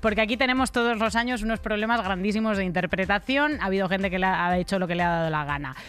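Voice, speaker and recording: A woman's voice: mid-range voice, fast talker, a bit bright, clean tone. A middle-aged woman with a Castilian Spanish accent co-hosting a podcast, giving casual humorous commentary in an irreverent, emphatic, colloquial way on a close studio mic. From Spain. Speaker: podcast co-host. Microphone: close studio microphone.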